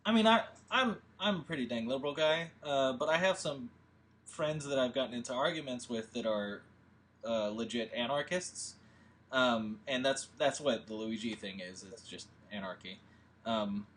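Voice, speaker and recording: Man, mid-pitched, hesitant filmmaker type, rambly and casual. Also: moderate pace, a bit bright. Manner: rambling, hesitant, many fillers. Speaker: filmmaker leading a table read. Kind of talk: casual discussion.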